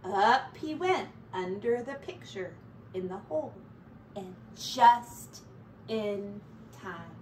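A middle-aged woman, a teacher, narrating a children's storybook aloud in an expressive, deliberate way. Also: mid-range voice. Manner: animated, deliberate. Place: small room at home, indoors. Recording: roomy, distant mic, faint noise.

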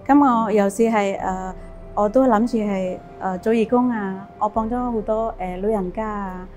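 A woman's voice: medium pitch, fairly even intonation, moderate pace, soft background music. A woman in her thirties from Indonesia, a service-centre worker, speaking hesitantly with frequent er fillers in a documentary interview. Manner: warm-hearted, hesitant with er fillers. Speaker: program volunteer and staff.

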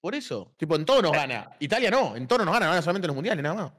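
A man's voice: fairly high pitch, big pitch swings, racing, a bit bright. A young man with an Argentine accent chatting casually in a football debate.

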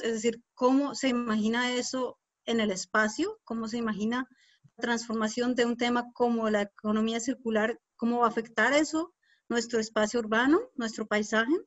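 A Colombian woman with a fairly high voice presents and explains in an online talk. She goes a little hesitantly, doubling back on words. The sound is muffled, lo-fi video call audio. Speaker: guest speaker, urban designer. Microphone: video call microphone.

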